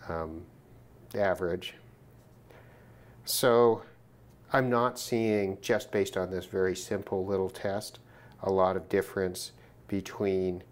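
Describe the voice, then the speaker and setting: A man giving an informal hands-on gear demonstration, thinking aloud in a measured way as he goes. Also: low-pitched. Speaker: hobbyist demonstrating a shortwave radio test. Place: indoors at a work desk.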